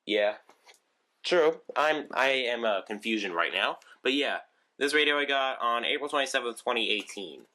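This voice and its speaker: A man with a crisp, bright voice talks through his collection of radios in a loose, chatty way. He rambles, restarts sentences and fills gaps with ums, like someone filming a casual home video. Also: medium pitch, animated, normal speed. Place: room at home with shelves of radios.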